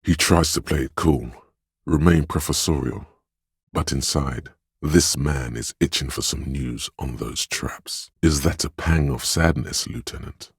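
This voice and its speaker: A super low, really raspy inner voice from a video game, gentle n perceptive, hushed solemn delivery, dry close sound. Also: male voice, measured pace, no echo, full character voice. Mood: wistful, compassionate. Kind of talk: scripted game narration, intimate inner monologue.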